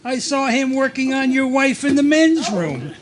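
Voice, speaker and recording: A man playing a deadpan police lieutenant in a live comic radio play. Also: very high voice, normal speed.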